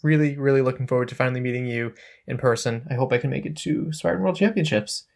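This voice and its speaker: A man's voice: medium pitch, fast, creaky, a little mellow. A man hosting a podcast, chatting casually in an easygoing, upbeat way. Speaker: podcast host. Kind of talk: casual podcast chat and outro.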